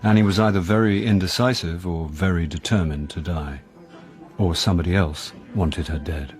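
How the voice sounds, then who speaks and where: A British actor playing a wry, self-deprecating middle-aged jobbing actor in a radio drama, with a dry, sardonic delivery. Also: male voice, low voice, normal speed, darkish tone, faint music behind, close to an everyday voice. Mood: wry narration. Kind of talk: radio drama acting, with first-person narration.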